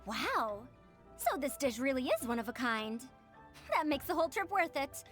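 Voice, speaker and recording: A woman's voice: high voice, sing-song, moderate pace, fairly bright tone, faint music behind. A woman playing a refined, penny-pinching merchant and scholar in a video game, speaking in an elegant, articulate and theatrical way. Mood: impressed, polite.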